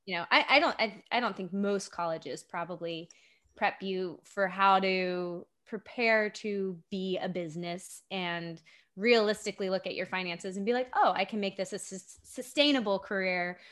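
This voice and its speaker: A woman's voice: medium pitch, moderate pace, a bit bright. A woman in her thirties, raised in Japan, chatting as a guest on an interview show, chatty and self-deprecating with frequent laughs. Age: 30s. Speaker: guest, actor and filmmaker. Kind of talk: casual interview chat.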